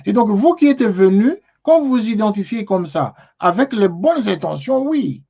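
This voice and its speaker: Call-in host, halting and repetitive, on a dull lo-fi recording. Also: mid-range voice, very animated, gravelly, dark tone, slightly rough. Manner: halting, repeats words, stretches key phrases. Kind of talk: reading and answering listener messages. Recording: slightly dull lo-fi sound.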